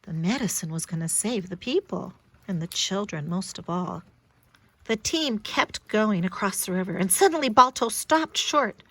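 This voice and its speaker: A woman reading a story aloud to young children, with expressive, dramatic and emphatic delivery. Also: mid-range voice, moderate pace, no echo.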